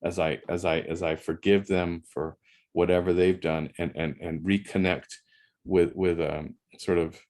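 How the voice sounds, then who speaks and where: Low-voiced reflective male interviewer. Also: flat delivery, a little slow, a little mellow. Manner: reflective, repeats words as he searches for them. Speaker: interview host. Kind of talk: casual interview chat.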